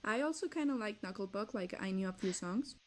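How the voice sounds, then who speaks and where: A woman recounts an anecdote in English at an even, medium pitch. She speaks casually with small hesitations. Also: fairly quick, a little hiss or hum. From Mexico. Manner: casual, slightly hesitant with fillers. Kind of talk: storytelling vlog.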